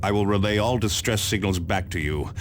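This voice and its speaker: A man's voice: fairly low pitch, brisk, a little hiss or hum, close to an everyday voice. A man playing a commanding, solemn space marine chapter master in a video game briefing, formal and weighty in delivery. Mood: grave, resolute. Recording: music behind the voice.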